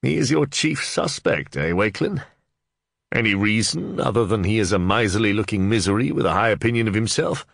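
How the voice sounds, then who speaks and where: A man voicing an authoritative medieval under-sheriff who speaks in a measured, commanding way. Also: fairly low pitch, animated, vocal fry, a bit bright, no room sound, clean studio sound, voice pushed up, full character voice. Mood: wry. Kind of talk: audiobook character voice.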